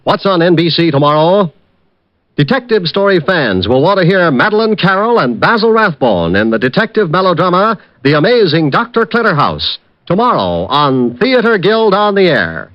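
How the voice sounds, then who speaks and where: A man's voice: sits high, sing-song, moderate pace, dry recording. An American man announcing from a script in the polished style of mid-century radio. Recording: dull old radio recording, music behind. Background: music.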